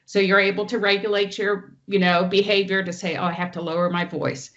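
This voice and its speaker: A woman presenter with a loud teacher voice, giving an online training talk and walking through each slide. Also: mid-range voice, level tone, a little fast, highs cut off. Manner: explains clearly, walks through each slide. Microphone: online meeting audio.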